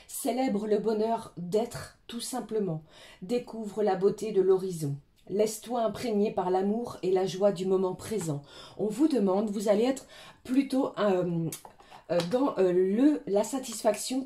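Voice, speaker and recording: A French woman with a cold and a hoarse voice, gently reading oracle cards for her viewers with many hesitations. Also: medium pitch. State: has a cold, hoarse and coughing. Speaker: tarot and oracle card reader. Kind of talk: weekly card reading guidance.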